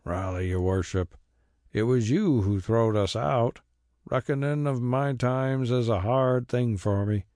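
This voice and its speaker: A man's voice: medium pitch, darkish tone, dry recording, clean studio sound, lightly acted. A man voicing a stubborn old rustic carrier. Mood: earnest. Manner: broad rural dialect, slow and roundabout.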